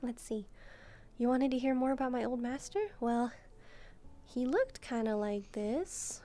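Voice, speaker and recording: A woman voicing a gentle, shy demon maid in a soft-spoken roleplay, speaking hesitantly as she muses aloud. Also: on the high side, unhurried, soft background music, lightly acted. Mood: gentle, chatty. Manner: hesitant, timid, musing aloud. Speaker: roleplay video creator. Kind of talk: soft-spoken first-person roleplay, relaxing.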